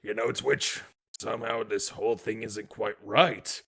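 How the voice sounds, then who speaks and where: An actor playing a gruff, cynical, hot-tempered male police detective in a comic dub, raspy, blunt and grumbling. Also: on the low side, steady tone, a little slow, a bit bright, heavily acted. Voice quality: gravelly, raspy.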